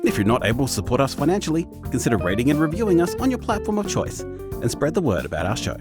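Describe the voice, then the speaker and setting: A man's voice: medium pitch, sing-song, quick pace. A man reading scripted end credits as the announcer of a show. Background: guitar music.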